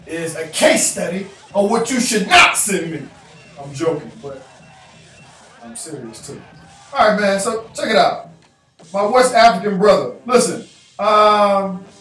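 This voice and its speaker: A man in his thirties talking straight to camera, answering viewer emails with animated gestures and repeating phrases for emphasis. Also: high-pitched. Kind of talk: casual advice talk to camera. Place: indoor room in front of a chalkboard backdrop. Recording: echoey room, voice a bit far off, faint music.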